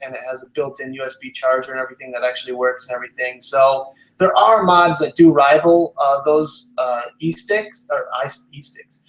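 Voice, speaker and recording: A man in his twenties with a slightly high voice talks casually to a live stream audience. He rambles and thinks aloud as he goes. The sound is noticeably roomy and echoey. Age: 20s. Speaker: live stream host, vape product reviewer. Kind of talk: casual live stream chat, answering viewer questions.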